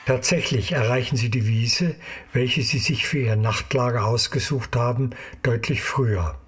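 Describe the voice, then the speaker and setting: A man narrates an audio drama as its storyteller. His voice sits at a medium pitch and has a husky edge. The delivery is scripted and narrative. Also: normal speed.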